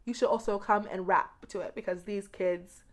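A woman from the United States giving conversational interview answers as a guest, expressive and repeating words for emphasis. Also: medium pitch, moderate pace. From grew up in the United States. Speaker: podcast guest, education researcher.

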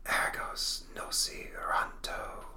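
A man voicing a solemn, grim avenging ghost from a comic book in a slow, breathy whisper. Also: pushed and bright, roomy sound. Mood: solemn incantation. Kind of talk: comic book read aloud.

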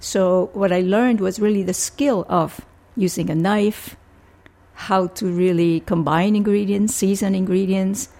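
Gravelly older woman. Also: mid-range voice, fairly even intonation, mellow. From Puerto Rico. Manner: measured, thoughtful, with frequent restarts. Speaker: family physician guest. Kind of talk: interview answers.

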